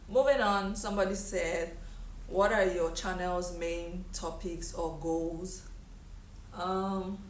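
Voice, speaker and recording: A woman's voice: fairly low pitch, echoey room, recorded from a distance, slight background noise, rough recording. A young Nigerian woman vlogger answering viewer questions in a breezy, chatty way, full of "like" and "okay?". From Delta State, Nigeria. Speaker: lifestyle vlogger. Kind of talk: casual get-to-know-me Q&A.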